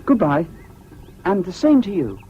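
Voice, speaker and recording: Odd earnest english schoolboy, posh public-school accent, polite, really lively pitch, old muffled radio play. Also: unhurried, slight background noise. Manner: earnest, politely self-deprecating. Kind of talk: 1970s BBC radio drama acting.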